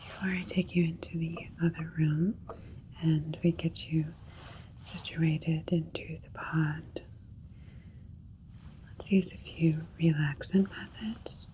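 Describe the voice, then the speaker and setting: A woman playing a calm, clinical alien doctor in an ASMR sci-fi roleplay, whispering breathy, soothing, methodical instructions. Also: low-pitched, slow pace, pauses often, low-quality recording. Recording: faint ambient noise. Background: faint spaceship ambience.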